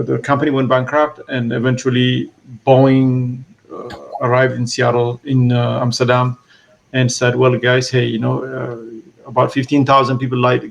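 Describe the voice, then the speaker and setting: A man from Eritrea recounts his family's past in an interview. His voice has a rough, gravelly edge at a middling pitch. He speaks haltingly, often restarting and repeating words. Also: low-quality recording. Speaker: author being interviewed. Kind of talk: reflective storytelling.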